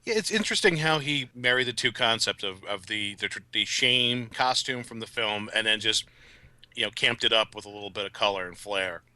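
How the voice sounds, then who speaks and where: Male podcast guest: casual commentary, lowish pitch, forceful bright tone, dry close sound. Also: expressive, fairly quick, no echo.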